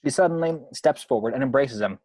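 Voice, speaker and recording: A man with a husky voice reading scripted screenplay action descriptions as narrator. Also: medium pitch, fast talker, a little mellow, no room sound.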